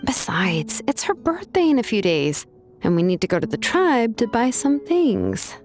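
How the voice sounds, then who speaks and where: A woman's voice: a little high, big pitch swings, moderate pace, a little mellow. A woman playing an easygoing, considerate young woman in a video game, casual and reassuring. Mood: friendly persuasion. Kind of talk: English video game voice acting. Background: faint music.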